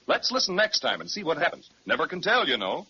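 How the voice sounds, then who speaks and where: Folksy male narrator, 1937 kids' radio serial; animated, muffled transcription sound. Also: fairly high pitch, fast talker, crowd noise. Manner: folksy, storyteller addressing children.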